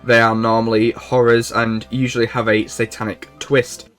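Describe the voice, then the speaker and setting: A man's voice: mid-range voice, flat delivery, normal speed, fairly bright tone. Male video essay narrator over background music. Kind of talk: informal scripted narration.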